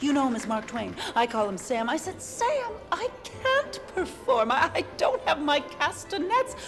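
A vain, flirtatious socialite mistress holds forth in a comedic audio drama. Her voice is high. She is theatrical and coquettish, savouring her own charms. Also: sing-song, measured pace, over music, full character voice. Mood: coy. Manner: theatrical, self-admiring, coquettish. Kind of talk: comedic monologue in audio fiction.

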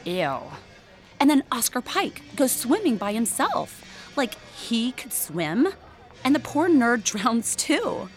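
A woman playing a blunt, gossipy high school girl in an audio drama, halting, conversational and sardonic. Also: big pitch swings, soft background music.

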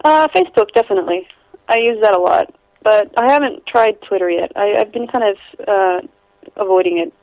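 A woman's voice: medium pitch, moderate pace, darkish tone, no room sound, muffled recording. A young Icelandic woman with an American accent, a photographer guest chatting in an interview, rambling with lots of restarts and easy laughs. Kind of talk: casual interview conversation.

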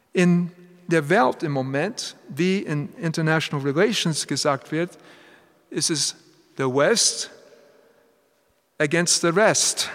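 A man preaching a sermon in German, which is not his first language; he speaks haltingly and drops in English phrases. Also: a little high. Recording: long hall reverb.

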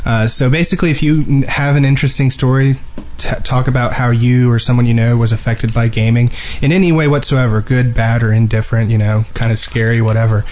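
A man's voice: medium pitch, steady tone, a little fast, a little mellow. Man chatting over background noise. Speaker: podcast co-host. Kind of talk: casual gaming podcast chat.

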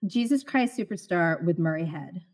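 A middle-aged woman born in the Philippines, a stage actor talking as a guest in an interview, expressive and animated, laughing often. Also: fairly low pitch, moderate pace. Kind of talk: conversational interview.

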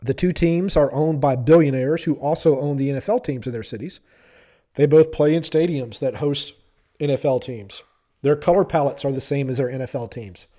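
A stuffy-nosed man hosts a sports podcast in a mellow, slightly high voice. He is wry and self-deprecating about his own sound. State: stuffy from pollen allergy. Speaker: podcast host and sports reporter. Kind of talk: podcast commentary.

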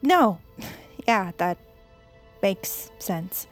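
A woman's voice: medium pitch, very animated, drawn-out words. Wry, chatty teenage girl played by a woman, music behind. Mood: concerned, deflated. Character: chatty sardonic teenage girl, eager and loyal friend. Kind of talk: naturalistic scripted audio drama acting.

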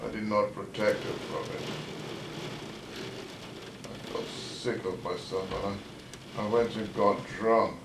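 Audio play male actor: washed-up middle-aged doctor, drunk, self-pitying, rambling slowly, recorded off-mic with noise. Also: on the low side, flat delivery, close to an everyday voice. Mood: guilt-ridden, despairing. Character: bitter, drunken former doctor wracked with guilt. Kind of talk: audio drama acting. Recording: roomy, distant, noisy audio drama recording.

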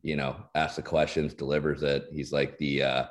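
A man's voice: very deep voice, level tone, moderate pace. A middle-aged man, a guest on a podcast, chatting in a laid-back, self-deprecating way with many fillers. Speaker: guest, insurance executive. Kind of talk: casual interview chat.

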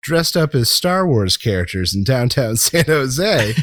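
A man's voice: medium pitch, sing-song, measured pace, a bit bright, no echo, clean studio sound. An American man hosting a podcast in casual, conversational talk. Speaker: podcast host.

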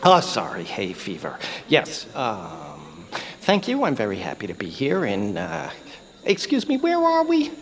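Flustered, swooping little radio star. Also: male voice, mid-range voice, huge pitch swings, crowd noise. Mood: awkward. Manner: halting, fumbling, apologetic. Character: small balding radio actor, awkward and flustered celebrity. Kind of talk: acted comic audio fiction.

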